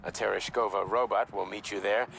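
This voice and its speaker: An actor playing a grandiose, fatherly scientist boss in a video game, speaking in a measured, lofty way. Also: fairly low pitch, steady tone, vocal fry, fairly bright tone. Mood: grave, instructing.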